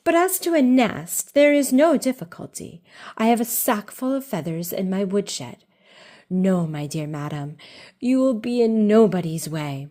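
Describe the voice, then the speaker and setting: A woman voicing a sly, hospitable gentleman fox in a children's storybook, speaking with polite, genteel formality. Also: mid-range voice, lively intonation, measured pace, close to an everyday voice. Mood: smooth, obliging.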